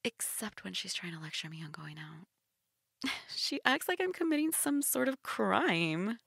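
A woman's voice: mid-range voice, big pitch swings, measured pace, vocal fry, fairly bright tone, husky, dry recording, studio quality, full character voice. A young woman playing a shy, teasing goth stepsister in an intimate audio role-play, casual, hesitant and giggly. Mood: amused, teasing.

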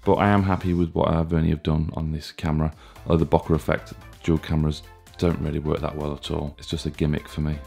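A man in his thirties with a chesty, hoarse cold voice, casually reviewing a gadget with plenty of fillers and restarts. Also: very deep voice, quick pace, few pauses, faint music behind. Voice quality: gravelly. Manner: relaxed, plain talk with frequent fillers and restarts. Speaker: tech reviewer.

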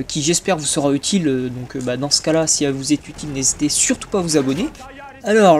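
A young man with a slightly high voice talks through a casual channel update, stopping often on filler sounds. Faint hiss sits under the voice. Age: young adult. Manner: hesitant, many filler sounds. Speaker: YouTube gaming channel host.

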